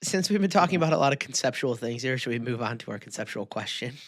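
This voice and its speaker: A man's voice: medium pitch, animated, fast talker, dry recording, clean studio sound. A man co-hosting a podcast in casual banter, with slangy, bro-heavy speech. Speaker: podcast co-host. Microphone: close podcast microphone.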